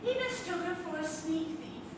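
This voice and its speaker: A woman's voice: very high-pitched, expressive, moderate pace. A woman playing a sweet, genteel, fussy elderly aunt in a comic stage play, dotty and proper. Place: small theatre, live performance. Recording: echoey, noisy stage recording from far off.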